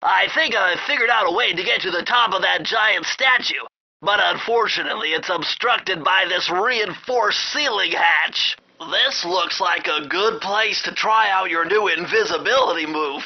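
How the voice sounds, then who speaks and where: A brainy young cartoon turtle guides the player through a video game. His voice is extremely high and nasal, with a fussy, bookish precision. He is earnest and excitable, always cautious. The sound is somewhat muffled and lo-fi. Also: moderate pace. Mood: earnest, explaining. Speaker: mission guide. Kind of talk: video game voice acting, instructions. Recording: dull, lo-fi game audio.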